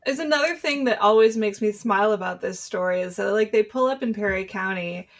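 A woman's voice: medium pitch, brisk. An American woman co-hosting in a casual, chatty style. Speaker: co-host. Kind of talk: casual chat.

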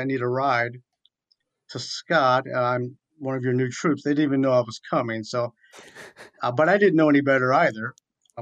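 Man, forties, from Virginia, medium pitch, earnest detailed storyteller, interview guest, chaplain. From southeastern Virginia, USA. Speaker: military chaplain, radio guest.

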